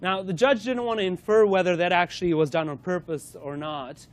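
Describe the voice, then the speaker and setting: A man's voice: sits high, fairly quick. A man presenting a corporate lunch-and-learn to a live audience, running a playful quiz and talking with frequent fillers and restarts.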